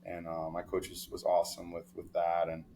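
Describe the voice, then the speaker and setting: A young man from New York, a sports agent telling stories in a relaxed, rambling interview with many fillers. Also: low voice, brisk. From New York, of Dominican family. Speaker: baseball player agent, guest. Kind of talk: casual interview storytelling.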